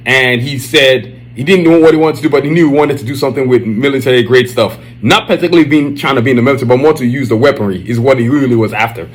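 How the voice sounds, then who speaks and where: Nigerian guy hosting a podcast, mid pitch, hyped and loose, lots of "you know what i'm saying". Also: quick pace, hardly any pauses. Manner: loose, hyped-up talk with slang fillers. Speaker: podcast host. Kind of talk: casual solo podcast chat. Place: Dallas, Texas area.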